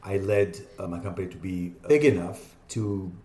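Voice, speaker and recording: Lively man in his fifties, echoey room. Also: low voice. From Israel. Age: 50s. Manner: animated, explaining with quick asides and laughs. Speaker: podcast guest, entrepreneur. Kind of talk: casual interview talk.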